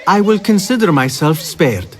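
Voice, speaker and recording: An actor playing an ambitious, cocky young street thief in a cinematic video game, eager and defiant in manner. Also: male voice, high-pitched, very animated, moderate pace. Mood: curious, amused.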